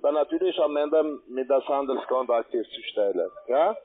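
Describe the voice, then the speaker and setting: A man with a medium-pitched voice talks over a thin telephone line. He has a foreign accent in German and pitches like an account manager, insistent and formally polite. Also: expressive, normal speed, breathy edge. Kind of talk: phone sales pitch. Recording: tinny, narrow phone line.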